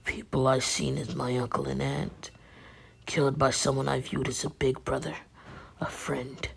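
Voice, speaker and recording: A woman voicing a solemn, loyal teenage ninja in an anime retelling, speaking in a hushed, earnest way. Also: very deep voice, flat delivery, moderate pace, creaky, husky, exaggerated voice. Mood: solemn resolve.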